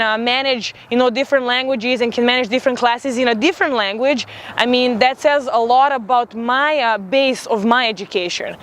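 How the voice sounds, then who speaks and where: A woman's voice: on the high side, smooth. A woman in her twenties from Serbia, an international student giving emphatic, opinionated interview answers with many fillers, outdoors in a city. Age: 20s.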